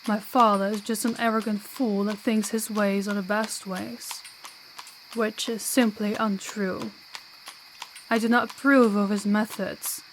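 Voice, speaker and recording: A woman acts out a defiant young princess in an audio drama, her voice at an average pitch. She is principled and earnest, with formal, blunt phrasing. A faint noise sits behind the voice. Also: flat delivery, measured pace, lightly acted, low-quality recording. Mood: earnest, resolute. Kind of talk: audio roleplay acting.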